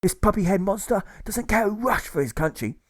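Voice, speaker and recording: A shrieking bloodthirsty provost marshal. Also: male voice, high-pitched, lively intonation, brisk, dry recording, clean studio sound, voice pushed up. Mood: frenzied. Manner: screaming, frenzied.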